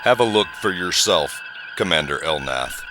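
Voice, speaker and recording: An actor playing a dutiful, inquisitive bridge officer in a science fiction audio drama. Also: male voice, low voice, expressive, moderate pace, background noise. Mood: businesslike.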